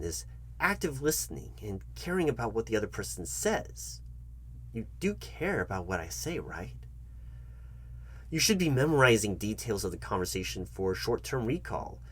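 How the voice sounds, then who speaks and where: A man playing a foolish, patronizing job interviewer in an ASMR comedy roleplay, speaking to the listener in a halting, repetitive, mock-earnest way. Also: fairly low pitch, slightly rough. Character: foolish, condescending male job interviewer toying with the candidate.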